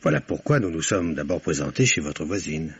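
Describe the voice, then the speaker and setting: An actor playing a composed, formal police commissioner who questions politely in a 1950s French radio mystery. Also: male voice, very deep voice, very animated, fairly quick, breathy edge, full character voice. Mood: firm, formal. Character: composed, courteous, probing male police commissioner. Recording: dull vintage radio recording.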